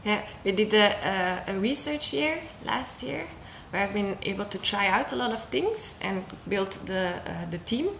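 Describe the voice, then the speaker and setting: A woman's voice: medium pitch, animated, unhurried, creaky, hardly any pauses, a bit bright, reverberant, slight background noise. A young woman from Belgium, a circus artist, talking casually about her work, hesitant with many ums and restarts. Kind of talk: casual interview talk.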